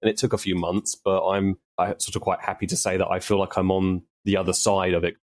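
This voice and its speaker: A man's voice: sits low, little pitch movement, a little fast. A man in a casual interview, talking candidly and often quoting his own thoughts. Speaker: audio drama co-creator, interview guest.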